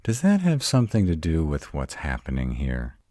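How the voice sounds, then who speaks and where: An extremely deep-voiced, grumpy were-dragon played by a man guards his home in a roleplay audio drama. He drawls with gruff sarcasm and swings expressively in pitch, sounding close and dry. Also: wildly swooping pitch, moderate pace, mellow, no room sound, exaggerated voice. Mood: suspicious, teasing.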